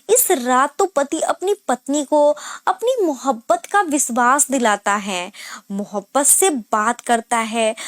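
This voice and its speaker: A woman's voice: sits high, no room sound, studio quality. A woman reading a scripted, emotional first-person story as narrator.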